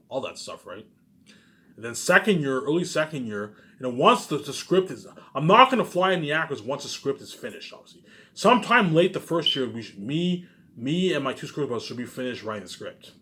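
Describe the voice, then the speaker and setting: A man's voice: medium pitch, expressive, fairly quick, sharp tone. A man giving a rambling, informal monologue in a boastful, repetitive, emphatic way, swearing now and then. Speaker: vlogger.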